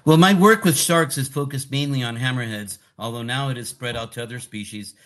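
A man's voice: mid-range voice, brisk. A man in his sixties with a Californian accent talks as an interview guest. He explains things earnestly and lands his points with emphasis. His voice sits in an ordinary middle register. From Costa Rica. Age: 60s. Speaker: guest, marine conservation scientist. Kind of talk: casual interview talk.

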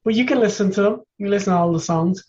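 A young man from Ireland with an Irish accent, a musician guest on a radio show who jokes and talks in a relaxed, self-deprecating way. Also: high-pitched, rapid-fire, lo-fi sound, faint music behind. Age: young adult. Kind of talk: casual radio interview.

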